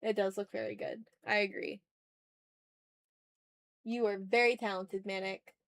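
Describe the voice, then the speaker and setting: A woman's voice: mid-range voice, little pitch movement, measured pace, vocal fry, dry recording. A woman chatting casually on an art livestream while she draws, informal and rambling, with some swearing and self-deprecation. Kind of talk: casual livestream chat while drawing, reading out viewer comments.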